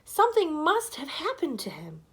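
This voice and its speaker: A woman's voice: high voice, huge pitch swings, normal speed, a bit bright, voice pushed up, heavily acted. A woman voicing an anxious young boy in a storybook, pleading and calling urgently for his lost dog. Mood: worried pleading. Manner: urgent, pleading calls and questions.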